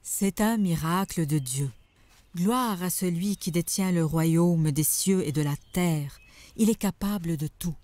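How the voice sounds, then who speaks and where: A woman's voice: lowish voice, a little slow, warm tone, no room sound, lightly acted. A woman voicing a devout, knowing maidservant in a dubbed cartoon, explaining things earnestly. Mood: reverent. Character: devout, knowing maidservant who shares holy news. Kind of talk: cartoon dubbing.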